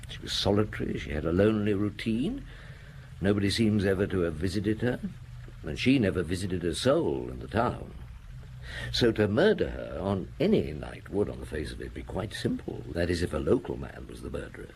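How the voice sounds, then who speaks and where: An actor playing a shrewd middle-aged police detective in an audio drama, musing methodically with dry humour. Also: male voice, low-pitched, big pitch swings, moderate pace, vocal fry, a little mellow, slight background noise, heavily acted. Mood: musing, probing. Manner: musing, methodical, dryly humorous.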